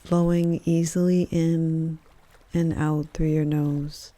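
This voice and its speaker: Slow, mellow woman guiding meditation. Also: deep voice. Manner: calm, gentle, measured, with soft pauses between phrases. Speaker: yoga nidra teacher, meditation guide. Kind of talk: guided meditation, soothing scripted narration.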